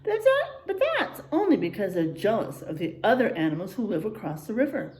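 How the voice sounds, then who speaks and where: A woman reading a picture book aloud to children in a teacherly, expressive way, checking in with her listeners as she goes. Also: medium pitch, fairly quick, reverberant. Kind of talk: animated children's read-aloud with asides to listeners.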